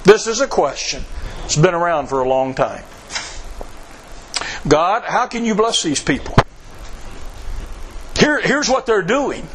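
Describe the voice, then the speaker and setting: A man's voice: high voice, fairly bright tone, slight background noise, poor audio. A man preaching a sermon, repeating phrases for emphasis and reading scripture aloud in old-fashioned wording. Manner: reads scripture aloud in King James wording.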